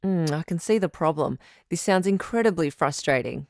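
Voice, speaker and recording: Actress as calm supportive lady doctor hosting radio advice drama, lower voice, articulate, super dry studio sound. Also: moderate pace, dry recording. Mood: supportive, attentive. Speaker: radio show host.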